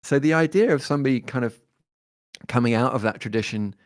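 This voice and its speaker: A man, talking as a guest musician in a relaxed interview, thoughtful and hesitant with many fillers and restarts. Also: mid-range voice, fast, no room sound, studio quality. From born in Detroit, raised in London.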